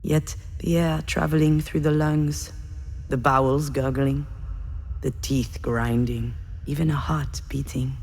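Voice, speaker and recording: An actress playing a reflective, wounded woman confiding her memories in measured, intimate visual novel narration. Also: deep voice, fairly even intonation, a little mellow, slight background noise. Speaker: video game character narrator. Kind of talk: introspective scripted narration, visual novel voice acting.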